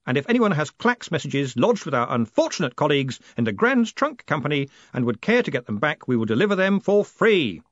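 A man voicing a quick-witted, persuasive and reassuring male postmaster in an audiobook. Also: on the high side, very animated, no echo, dull audio, pitched above the performer's own voice. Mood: rousing.

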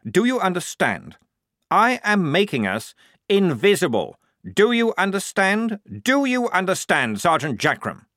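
A man's voice: a little high, big pitch swings, unhurried, fairly bright tone, dry recording, studio quality. A man reading a story, voicing a pompous, fussy young male lieutenant in a lofty, overly precise manner.

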